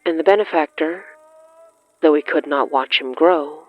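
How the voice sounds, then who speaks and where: A narrator reading dramatic horror fiction in a measured, halting way with weighty pauses. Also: medium pitch, dull audio. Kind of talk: slow, dramatic scripted narration.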